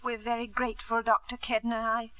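An anxious, devoted mother in a vintage radio drama. Her voice is high and tense, pleading as she struggles to stay brave. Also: level tone, brisk, sharp tone, low-quality recording. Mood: polite, subdued. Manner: pleading, brave, breaking with emotion. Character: anxious, devoted wife and mother of a sick boy. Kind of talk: 1950s radio drama acting.

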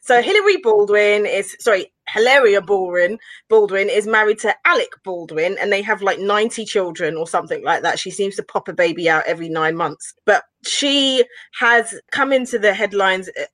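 A woman sets out a gossipy story for the others in a casual panel chat. Her voice sits at an ordinary pitch, and the recording is dry and close. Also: moderate pace, a bit bright, no room sound.

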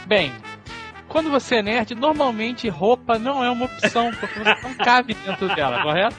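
Brazilian dude, highish bright voice, grumpy sarcastic podcast banter, music in back. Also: male voice, lively intonation, fairly quick. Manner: mock-grumpy, sarcastic, blunt. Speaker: podcast co-host. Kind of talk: casual comic banter. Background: background music.

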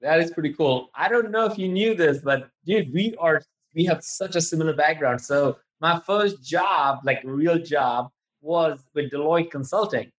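A man's voice: a little high, normal speed, few pauses, poor audio. A man hosting a podcast interview, chatting informally and with enthusiasm. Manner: enthusiastic and informal, says "dude". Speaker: podcast host. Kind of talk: casual interview chat.

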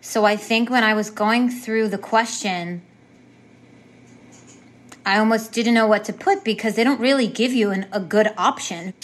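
A woman being interviewed, recalling events casually and hesitantly, pausing to search for words. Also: mid-range voice. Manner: hesitant, with many fillers and restarts. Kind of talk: casual recollection.

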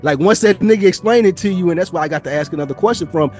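A man's voice: sits high, racing, a little mellow, faint music behind. A man in a casual podcast discussion, swearing freely and acting out imagined exchanges as he tells his point. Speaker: podcast speaker.

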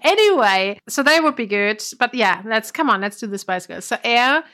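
A woman's voice: fairly high pitch, expressive, normal speed, bright, forceful tone. A woman co-hosting a podcast, sniffly with a cold, chatting casually and laughing often. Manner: chatty, laughs often, trails off mid-sentence. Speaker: podcast co-host. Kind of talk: casual chat.

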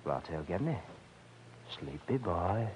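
Menacing taunting crook, drawn out slow, crackly old radio drama. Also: male voice, deep voice, sing-song. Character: menacing, mocking male thug who slugs people. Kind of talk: 1940s radio acting. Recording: very noisy old radio recording.

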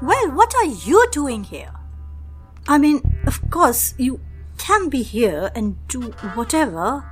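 A friendly female coworker in an audio drama. Her voice is high and very animated, and she sounds eager and a bit flustered. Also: slow, breathy edge, soft background music, heavily acted. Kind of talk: naturalistic audio drama acting.

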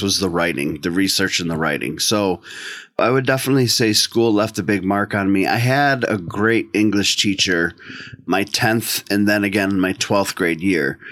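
A middle-aged man with an American accent and a lowish voice talks casually as an interview guest. The sound is dry and close, with no room echo. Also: a bit bright. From northeastern United States.

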